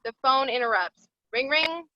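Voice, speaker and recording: A woman's voice: sits high, animated, moderate pace, no room sound, lo-fi sound. A woman narrating stage directions at a screenplay table read.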